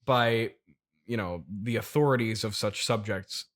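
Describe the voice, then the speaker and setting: A man's voice: on the low side, unhurried, a bit bright. An American man hosting an improvised comedy interview, wryly repeating things back with mock seriousness. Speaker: podcast interviewer.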